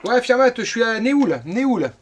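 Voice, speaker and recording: A man's voice: very high-pitched, very animated, moderate pace, slight background noise. A middle-aged man from France chatting casually as an amateur radio operator.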